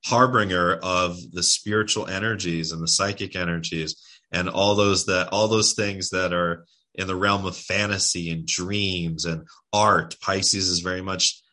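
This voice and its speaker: A man's voice: sits low, steady tone, unhurried, pushed and bright. A tired man talking casually as a guest on a livestream, rambling and restarting his words with lots of fillers. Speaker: spiritual guest speaker.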